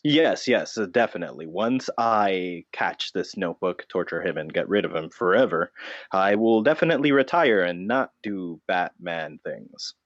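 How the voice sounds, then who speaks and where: Man acting as vengeful bat-costumed notebook, low pitch, deadpan menace, improvised mock interview, dry lo-fi recording. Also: moderate pace, no echo. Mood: defensive, deadpan. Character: vengeful vigilante notebook in bat disguise, grimly cruel.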